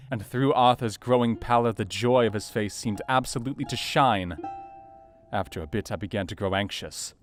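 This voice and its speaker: A man playing a serious, anxious Victorian doctor, narrating his diary in a measured, formal literary manner for an audio drama. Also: on the low side, natural voice. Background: faint plucked guitar music.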